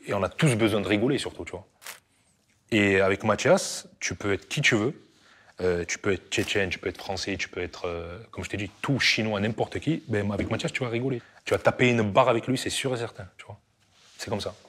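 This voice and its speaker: Chatty low-voiced man telling stories. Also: a little fast, fairly bright tone, husky. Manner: chatty, with many fillers. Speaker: childhood friend. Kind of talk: casual interview.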